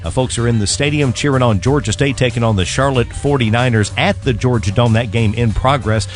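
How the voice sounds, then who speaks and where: A man delivers a radio traffic report in a conversational, informal style. His pitch sits in the middle of the male range. A light music bed runs softly underneath him. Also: brisk. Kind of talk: live radio traffic report with sponsor reads.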